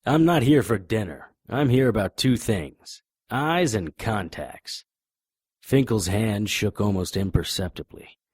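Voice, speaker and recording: An actor playing a deadpan, hardboiled private investigator, narrating and speaking in a noir audio drama. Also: male voice, on the low side, measured pace, husky, no room sound, studio quality. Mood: calm, pressing. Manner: deadpan, wisecracking.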